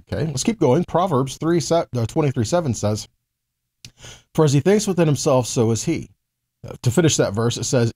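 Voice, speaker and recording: A man's voice: mid-range voice, mellow, breathy edge. An American man in his forties giving a conversational Bible teaching, explaining step by step and checking in with short tags. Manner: explanatory, with frequent check-in tags like okay and right.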